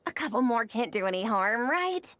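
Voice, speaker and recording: A woman's voice: a little high, very animated, normal speed, a bit bright. A woman voicing a cheeky, carefree young troublemaker in a video game, with a coaxing, mischievous manner. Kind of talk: scripted video game dialogue.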